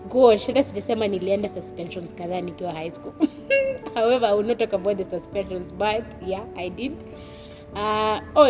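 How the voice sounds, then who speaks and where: A woman's voice: medium pitch, lo-fi sound. A young woman in her twenties from Kenya with a Kenyan accent, telling her life story in a chatty way and dropping in Swahili asides. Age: 20s. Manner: chatty, laughs at herself, drops in Swahili asides. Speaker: guest.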